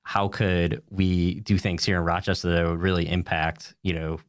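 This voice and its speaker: A man's voice: sits low, flat delivery, normal speed, no room sound, clean studio sound. An American man answering casually as an interview guest, rambling along with plenty of "you know" and "like". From United States, upstate New York.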